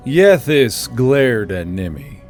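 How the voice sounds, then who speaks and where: A man's voice: medium pitch, big pitch swings, slow, soft background music. A man narrating a scripted fantasy story.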